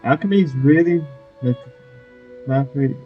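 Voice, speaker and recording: A man's voice: mid-range voice, moderate pace, dull audio. A man giving casual gameplay commentary, reacting in short fragments as he plays. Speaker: let's player. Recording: faint game music behind.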